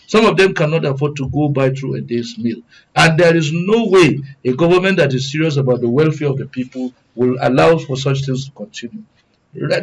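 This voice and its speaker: A Nigerian man speaks as a guest on a radio panel. His pitch is a bit above average. He argues methodically in formal, long-winded sentences, one point after another. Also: rough recording. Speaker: studio guest, good governance advocate. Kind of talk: live panel commentary.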